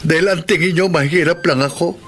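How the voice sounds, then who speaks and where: A man's voice: fairly high pitch, steady tone, quick pace, fairly bright tone, soft background music, close to an everyday voice. A man with a Filipino accent playing an anguished, pleading husband in a radio drama. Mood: hurt, reproachful. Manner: emotional, pleading. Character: anguished poor young husband pleading for his wife.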